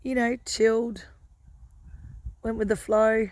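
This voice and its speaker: A hoarse Australian woman with a croaky voice, musing gently in a casual vlog and often adding "you know". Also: on the high side, flat delivery, no room sound. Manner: gentle, unhurried, warm-hearted musing with many "you know" fillers. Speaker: lifestyle vlogger. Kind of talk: casual reflective chat to camera.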